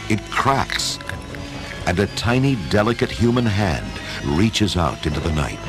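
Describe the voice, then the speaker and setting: A man giving dramatic, measured scripted narration for an audio story, in a theatrical storytelling manner. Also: low voice. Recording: music under the narration. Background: music.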